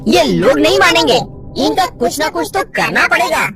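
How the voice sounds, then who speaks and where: A woman's voice: high voice, very animated, normal speed, loud music behind the voice. A woman voicing a menacing, theatrical mermaid witch in a cartoon story. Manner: theatrical, threatening. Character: menacing mermaid witch haunting a village river. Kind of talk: Hindi cartoon story voice acting.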